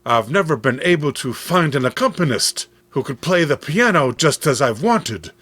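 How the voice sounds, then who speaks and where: A man's voice: fairly high pitch, very animated, moderate pace, a bit bright, dry recording. A man playing an obsessive, lovestruck musician in a horror comic, melodramatic and brooding. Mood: yearning. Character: Eric, obsessive lovestruck male musician, anguished.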